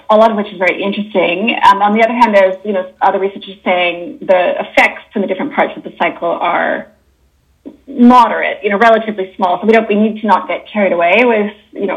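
A woman's voice: medium pitch, dull audio. Chatty Canadian woman in her fifties. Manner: chatty, with self-corrections and soft laughs. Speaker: guest, naturopathic doctor. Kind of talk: casual interview talk.

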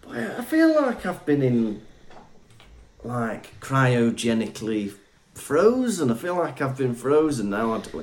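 A man's voice: medium pitch, huge pitch swings, measured pace, a little mellow. Chatty man from Wales. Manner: chatty, laughs often, storytelling. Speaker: podcast co-host. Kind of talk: casual chat.

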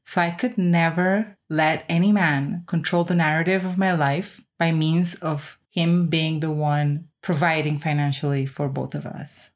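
A woman's voice: low-pitched, fairly even intonation, a little slow, few pauses. A woman in her thirties or older giving a personal, reflective podcast monologue with emphatic, repeated phrasing. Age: 30s or older. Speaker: podcast host.

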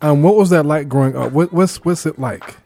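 A man's voice: a little high, a little fast, gravelly, dark tone, faint music behind. A man from Brooklyn with an American accent, hosting a conversational interview in an encouraging, complimentary way. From Brooklyn, New York. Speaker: show host interviewing a guest.

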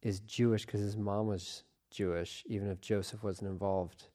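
A woman's voice: rumbling low, steady tone, moderate pace, warm tone. A woman hosting a podcast interview, chatting casually and joking freely with her guest. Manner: playful, joking, loose and chatty. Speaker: podcast host. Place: studio with headphones.

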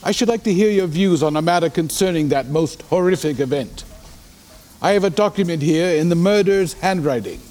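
A man playing a sober, concerned Victorian gentleman in a period drama, speaking in a formal, measured, inquiring way. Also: a little high, lightly acted. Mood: courteous. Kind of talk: period audio drama acting.

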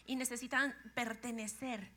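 A middle-aged woman from Guatemala with a Guatemalan accent, giving a lively, joking talk to a church congregation. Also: on the high side, moderate pace. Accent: Guatemalan Spanish. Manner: lively and joking, laughs often. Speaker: guest speaker, pastor's wife.

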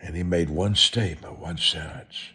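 An American man preaching a motivational sermon and telling stories in a deliberate, emphatic way, repeating short phrases. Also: low-pitched, fairly even intonation, bright, forceful tone.

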